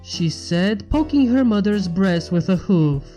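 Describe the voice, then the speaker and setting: A woman narrates a story from a script in a mellow, relaxed voice of medium pitch. Faint music plays behind her reading. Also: unhurried. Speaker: fan fiction reader.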